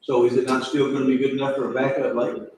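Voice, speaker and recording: A man chairing an informal public meeting in a folksy, halting way, repeating himself. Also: mid-range voice, flat delivery, a little mellow. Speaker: judge presiding over county commissioners meeting. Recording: echoey meeting room, distant mic, faint noise.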